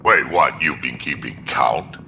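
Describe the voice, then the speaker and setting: An actor playing a gruff, hearty old Krogan alien in a video game, boisterous and blunt, with a deep gravelly voice. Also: male voice, animated, unhurried, bright, forceful tone, a little hiss or hum, heavily acted. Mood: teasing, surprised.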